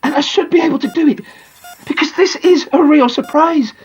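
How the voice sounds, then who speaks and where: A woman voicing an excitable young boy who lies in a coma, confiding his thoughts emphatically in a dramatic audiobook narration. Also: high-pitched, moderate pace, voice pushed up. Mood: thrilled.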